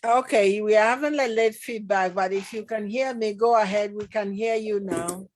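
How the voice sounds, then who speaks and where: A woman's voice: medium pitch, normal speed, a little mellow. A woman hosting an online talk show, chatty and welcoming, with many fillers and restarts. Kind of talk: casual interview hosting.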